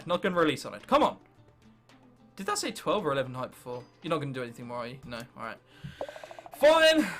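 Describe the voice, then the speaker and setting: A young man with an English accent commentates casually on a video game. His voice is high and bright and swings around a lot in pitch. He is irreverent and sarcastic, often talking to the game itself. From England. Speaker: gaming video host.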